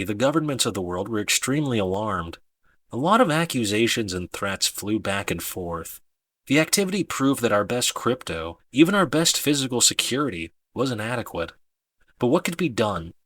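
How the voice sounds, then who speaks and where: A male storyteller delivers scripted narration with a lively, swinging pitch. His tone is bright and forceful, and he places deliberate stress on key words. The sound is dry, with hardly any room to it. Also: husky, dry recording. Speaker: audiobook narrator.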